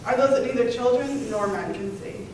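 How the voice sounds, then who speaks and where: A woman's voice: a little high, normal speed. A woman reciting short scripted lines as a readers theater performer. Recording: echoey hall, distant mic, faint noise.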